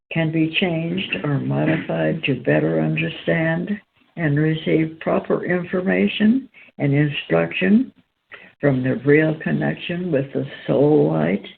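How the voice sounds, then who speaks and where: A woman with a creaky, gravelly voice hosts and reads spiritual writing aloud. She goes very slowly, breaking sentences into halting, deliberate pieces. The sound is narrow and phone-like, with some noise behind her. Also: low-pitched. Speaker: host and reader. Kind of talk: slow read-aloud of spiritual text. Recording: tinny phone-line sound, background noise.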